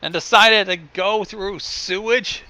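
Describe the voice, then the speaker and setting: A man's voice: high-pitched, fairly even intonation, slow, pushed and bright, rough recording. A man chatting casually as co-commentator on a story reading, dropping offhand, wry asides. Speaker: co-commentator on fan fiction reading. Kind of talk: casual reaction chat.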